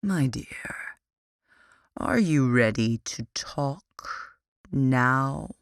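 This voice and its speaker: A narrator voicing a cruel, sadistic male demon captor in an audiobook, speaking slowly and menacingly. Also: medium pitch, animated, clear, resonant tone, no room sound, studio quality. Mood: silkily menacing. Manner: slow, drawn-out menacing delivery. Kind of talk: audiobook character voice.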